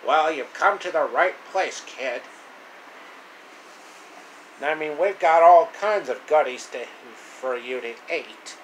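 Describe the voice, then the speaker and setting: A man's voice: a little high, slow, long pauses, low-quality recording. A man voicing a hospitable, wisecracking sewer rat in a storybook parody, with a chummy drawl. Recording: steady air-conditioning noise.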